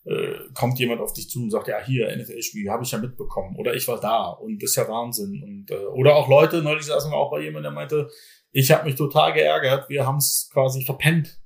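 A man's voice: mid-range voice, fairly even intonation, a little fast, creaky. A man from Bavaria, a football team's general manager, talking casually in a podcast and rambling with many fillers and restarts.

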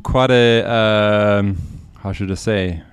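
A man from Austria in his forties, with a low, smooth and resonant voice. He speaks in a casual, friendly way, often pausing and restarting. The recording is close and dry. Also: fairly even intonation, no room sound. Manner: hesitant, many fillers and restarts, friendly. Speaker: podcast guest, entrepreneur. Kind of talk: casual interview conversation.

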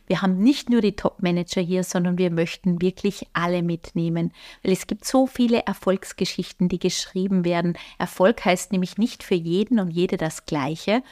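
A woman's voice: medium pitch, a little mellow. A woman co-hosting a podcast in a studio, chatting enthusiastically and laughing often. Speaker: podcast co-host; photographer and coach. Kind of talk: casual podcast chat. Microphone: podcast microphone.

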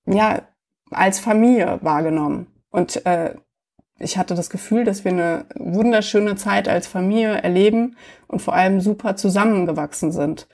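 A woman telling her own story as an interview guest, reflective and hesitant, with many pauses and fillers. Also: mid-range voice, a little mellow.

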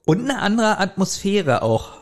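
A man's voice: high-pitched, sing-song, measured pace. A man co-hosting a podcast, casually commenting and thinking aloud in loose phrases. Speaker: podcast co-host. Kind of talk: casual chat, commenting on an audio drama.

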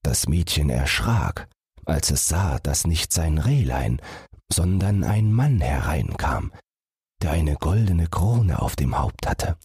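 A man reads a bedtime fairy tale in a very deep, husky voice. He goes slowly with expressive, varied intonation. The recording is close and dry. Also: dry recording. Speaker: audiobook narrator.

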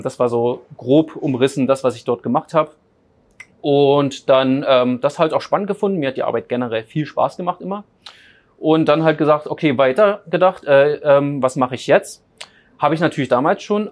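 A man from Berlin in his thirties chats about his path as a guest. He talks loosely with frequent fillers and restarts, in an ordinary mid-range voice. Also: medium pitch. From Berlin, Germany. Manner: rambling, many fillers and restarts. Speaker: data scientist guest. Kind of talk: casual interview chat.

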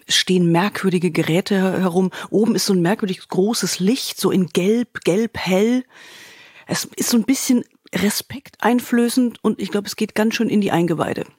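A woman's voice: mid-range voice, darkish tone, slightly rough, dry recording, studio quality. A woman co-hosting a podcast in a casual, chatty, effusive way, repeating words for emphasis, with the ease of a radio veteran.

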